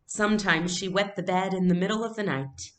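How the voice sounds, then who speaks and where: A woman voicing a gentle, good-humoured mother of young girls from a storybook, practical and motherly. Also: medium pitch, fairly quick, slight background noise, pitched above the performer's own voice, lightly acted. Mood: amused.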